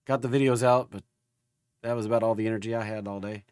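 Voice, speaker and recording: Male livestream market host, gravelly and under the weather, informal folksy delivery, dry recording. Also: lowish voice, steady tone, quick pace, a little mellow, dry recording. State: recovering from an illness. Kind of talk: casual livestream chat and market commentary.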